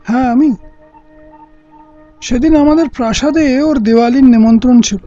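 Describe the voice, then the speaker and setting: A man's voice: very high-pitched, moderate pace, mellow. A man playing a principled, defiant older royal uncle in an audio play, with earnest, poetic oratory. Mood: tender, reflective. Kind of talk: Bengali radio play acting. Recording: dull lo-fi audio with music.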